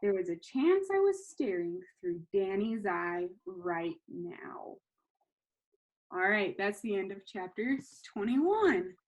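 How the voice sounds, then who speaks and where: A woman reading a children's novel aloud in an animated, expressive storytelling manner. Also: medium pitch, slow, poor audio. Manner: animated, acts out dialogue.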